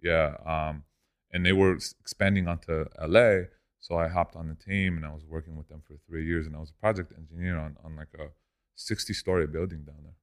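A man's voice: very deep voice, steady tone, fairly quick. A young man from Lebanon, a guest on a podcast, talking casually about business and often saying "dude" and "man". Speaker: guest, e-commerce entrepreneur.

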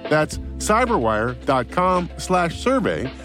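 A man's voice: fairly high pitch, big pitch swings, slow pace, music in the background. A man reading a friendly network promo in an upbeat way. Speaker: network announcer. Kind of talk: scripted promo read.

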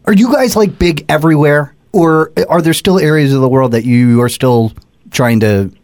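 A man's voice: on the high side, normal speed, darkish tone, no room sound, clean studio sound. A man hosting a podcast, joking around and firing off strings of questions at his guest. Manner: jokey, fires off strings of questions. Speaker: podcast host. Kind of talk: casual interview chat.

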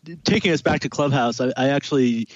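A man's voice: medium pitch, fast talker, no room sound. Male guest, hesitant, restarts a lot, muffled dull line, dry. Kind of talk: casual chat.

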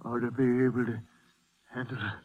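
An actor playing a weary but stubborn old shopkeeper, gravely weak, speaking haltingly in a 1950s western radio drama. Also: male voice, mid-range voice, flat delivery, lightly acted. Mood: exhausted, frustrated. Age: older. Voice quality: husky, faint. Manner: halting, labored speech with long pauses. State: weak, exhausted. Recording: muffled, phone-like old radio recording.

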